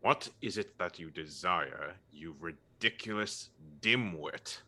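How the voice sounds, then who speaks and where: A cold, sneering male professor, acted by a man, in a low voice with a very sharp, forceful edge. He draws out his words with slow contempt. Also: heavily acted. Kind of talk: comedic dramatic reading of fan fiction.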